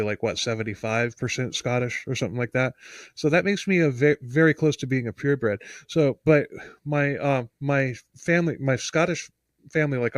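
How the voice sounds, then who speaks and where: A man's voice: mid-range voice, no echo. A man from the United States co-hosting a podcast, talking casually in a rambling, emphatic way that often restarts sentences. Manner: rambling, self-interrupting, emphatic. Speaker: podcast co-host. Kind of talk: casual podcast chat and true-crime storytelling.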